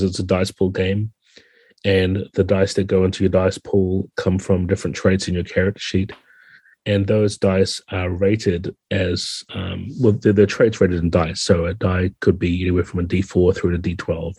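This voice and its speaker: Male interview guest, game designer. Low husky voice, flat intonation, dry close sound. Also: dry recording. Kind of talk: explanatory podcast interview talk.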